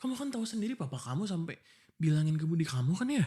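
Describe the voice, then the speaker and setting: A man's voice: fairly high pitch, big pitch swings, fast talker, dark tone, slightly rough. Exasperated young fiancé, acted by a man. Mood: annoyed, suspicious. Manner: pleading, scolding, informal and insistent. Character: exasperated, protective young fiancé arguing before his wedding. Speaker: voice actor. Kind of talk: boyfriend ASMR roleplay, one-sided dialogue.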